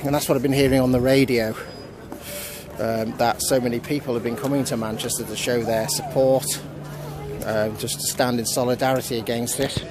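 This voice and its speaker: A man gives an unscripted on-location news report, speaking haltingly and repeating words as he goes. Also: mid-range voice, steady tone. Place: outdoors in a busy city square. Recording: outdoor city crowd and traffic noise. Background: crowd and traffic.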